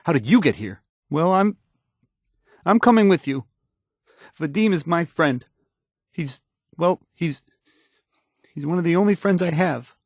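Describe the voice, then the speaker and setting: A man's voice: a little high, expressive, a little slow, long pauses, no room sound, clean studio sound. An actor playing a timid, awkward young male radio DJ in a video game, stammering, hesitant and apologetic. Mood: shaky resolve. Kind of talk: post-apocalyptic video game voice acting.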